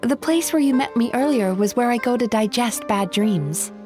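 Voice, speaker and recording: A woman voicing a gentle young dream-eating spirit in a video game, who works as a dream therapist and talks in a soft, polite, soothing way. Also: on the high side, animated, moderate pace, over music, lightly acted. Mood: friendly.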